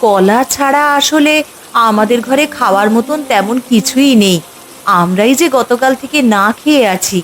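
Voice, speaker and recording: A woman's voice: fairly high pitch, moderate pace, natural voice. A woman voicing a concerned, hard-up village mother in a cartoon fairy tale. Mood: polite, resigned. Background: music.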